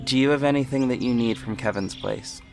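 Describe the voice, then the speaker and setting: A man playing a protective, self-assured ex-cop detective with a measured, firm delivery in an audio drama. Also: mid-range voice, vocal fry, lightly acted. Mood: reassuring. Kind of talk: first-person audio drama narration and dialogue. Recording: music bed behind the voice. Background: music.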